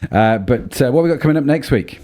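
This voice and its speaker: A man co-hosting a podcast in casual chat, his deep baritone made rougher by a cold, thinking aloud with many ums and restarts. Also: expressive, fast talker, mellow. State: voice low and rough from a cold spell. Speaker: podcast co-host.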